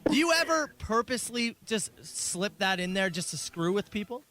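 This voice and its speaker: A woman's voice: medium pitch, very animated, moderate pace, over loud music. A woman bantering casually as a co-host in a radio interview. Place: radio studio.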